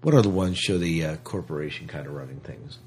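A man with a deep, somewhat rough and husky voice talks as a podcast co-host. He works through his thoughts out loud in a casual, questioning way. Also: animated, fast. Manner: questioning, thinks aloud. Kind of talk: casual chat discussion.

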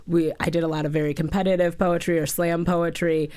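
A woman's voice: on the low side, little pitch movement, fairly quick, darkish tone, clean tone. A woman interviewer, also a writing teacher, asking thoughtful, probing questions in a casual way.

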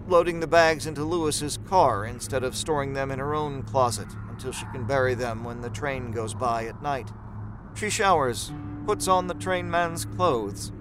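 A man's voice: medium pitch, background noise. A man narrating a horror story from a script.

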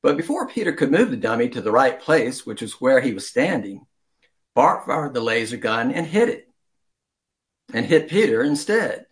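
A man reading a children's story aloud as an amateur storyteller, deliberately, with some stumbles and throat-clearing. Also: medium pitch, sing-song.

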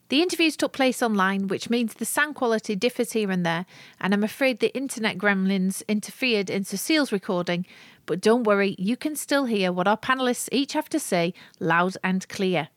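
A woman hosting a podcast, presenting a book review in a clear, methodical, scripted way. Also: mid-range voice, dry recording, clean studio sound. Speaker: podcast host.

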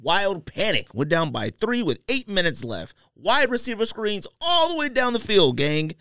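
A man's voice: high voice, very animated, moderate pace, pushed and bright, clear, resonant tone, no echo, clean studio sound. A man co-hosting a sports podcast, arguing casually and repeating his phrases for emphasis.

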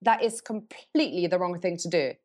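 Woman, a bit low-pitched. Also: darkish tone. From Norway. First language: Norwegian. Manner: thoughtful, pauses to search for words and rephrases. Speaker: agency CEO, guest. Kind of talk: podcast interview answers.

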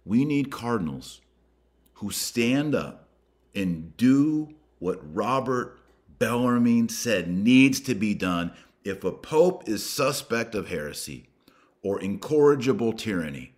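A man with a deep, low-pitched voice speaks as a religious podcast host. He exhorts his listeners emphatically, pausing and repeating for weight, and mixes in colloquial turns of phrase. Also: lively intonation, darkish tone. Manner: emphatic, preachy, with dramatic pauses and repetition.

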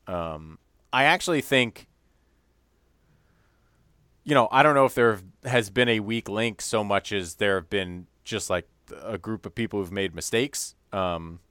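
A man co-hosting a podcast, chatting casually about a cooking show, animated and quick to laugh at his own jokes. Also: sits low, clean tone, no room sound. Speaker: podcast co-host. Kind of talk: casual chat.

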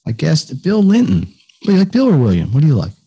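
A man's voice: fairly high pitch, wildly swooping pitch, quick pace, rough recording. An older man hosting a podcast interview in an effusive, conversational way, often repeating words for emphasis. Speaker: podcast host.

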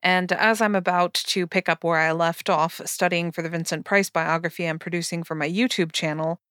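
A woman's voice: lowish voice, fairly even intonation, moderate pace, fairly bright tone, smooth, no echo, clean studio sound. A woman reading a prepared introduction as the host of a podcast.

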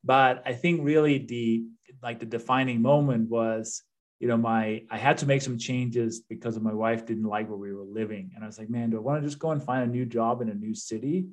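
A man around fifty speaks English with a Danish accent, his voice sitting at an ordinary male pitch. He answers as a podcast guest in an earnest, reflective way, with a few fillers. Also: steady tone, a little fast. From Denmark. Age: 50s. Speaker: guest, real estate investor. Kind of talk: casual interview chat.

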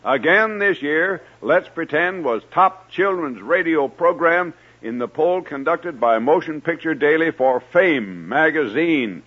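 A man's voice: a little high, lively intonation, a little slow, few pauses, husky. A man hosting and telling stories on a 1950s children's radio show in a hearty, folksy way. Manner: hearty, folksy address to young listeners. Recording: dull old radio recording with music.

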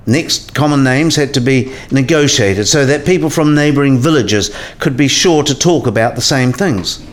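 Man acting a measured, learned professor. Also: medium pitch, close to an everyday voice. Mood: measured, instructive. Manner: measured, didactic, precise. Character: learned male professor patiently explaining science history. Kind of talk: scripted explanatory dialogue in a radio play.

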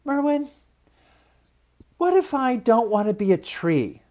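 A man's voice: very high voice, very animated, slow pace, dark tone, voice pushed up, heavily acted. A man voicing an eager, dreamy little girl seed in a children's story, pleading and full of questions. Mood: curious, pleading. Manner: pleading, wide-eyed, inquisitive.

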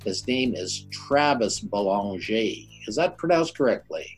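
An older man hosting a show, introducing and interviewing his guest with emphatic, deliberate phrasing. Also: lowish voice, animated, slightly rough, faint music behind. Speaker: show host.

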